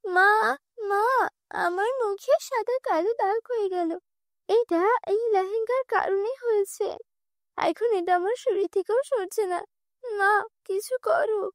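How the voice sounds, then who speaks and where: A woman doing a shrill, spoiled jealous sister. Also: unhurried, dry recording, clean studio sound. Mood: wailing. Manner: whiny, accusing.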